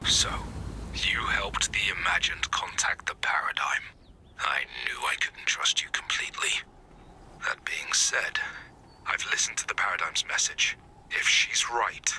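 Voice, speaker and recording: An actor voicing a grave, urgent military resistance commander in a video game, clipped and commanding. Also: male voice, fairly low pitch, sharp tone, low-quality recording. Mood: stern, grave.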